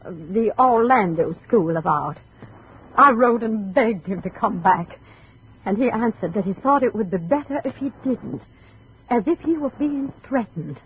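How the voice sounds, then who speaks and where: A woman's voice: fairly high pitch, lively intonation, a little slow. A woman playing an anxious, possessive middle-aged mother, fretful and insistent, in 1950s radio drama style. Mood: wounded, fretful. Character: possessive, anxious, self-pitying wealthy mother of grown son. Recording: dull, noisy 1950 radio recording.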